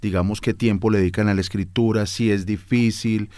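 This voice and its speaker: A man's voice: fairly low pitch, steady tone, brisk, darkish tone, dry recording, studio quality. A man speaking as an interviewer, putting questions in a conversational way.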